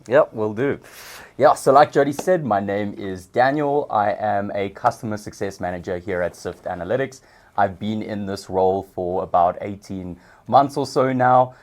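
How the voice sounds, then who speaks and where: Young south african guy, deep low voice, relaxed explaining w/ some ums. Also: moderate pace. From Johannesburg, South Africa. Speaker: guest, customer success manager. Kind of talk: casual interview.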